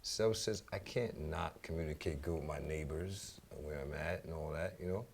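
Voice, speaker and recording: A man with a very low, gravelly voice and a New York accent. He talks casually as a guest in an interview, rambling along in slangy phrases and sprinkling in "you know" and "man". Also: moderate pace, slight background noise. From New York City. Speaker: DJ and music teacher, radio show guest.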